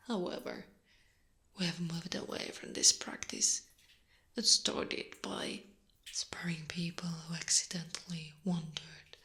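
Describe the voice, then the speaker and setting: A woman playing a possessive, predatory female spider monster in an ASMR roleplay, teasing and often slipping into a breathy half-whisper. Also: medium pitch, sharp tone. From Italy.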